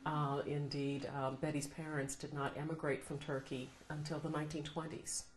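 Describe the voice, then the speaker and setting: A middle-aged American woman, a law professor, answering interview questions in a thoughtful, measured way. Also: low voice, flat delivery. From United States. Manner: thoughtful, measured, laughs often. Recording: roomy echo, faint noise.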